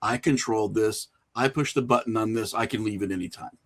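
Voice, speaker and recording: A man's voice: on the low side, fairly quick, a bit bright. Reflective middle-aged man chatting informally. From small rural town. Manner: informal, anecdotal, chuckles through remarks. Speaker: podcast guest, horror filmmaker and writer. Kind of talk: casual, reflective conversational interview.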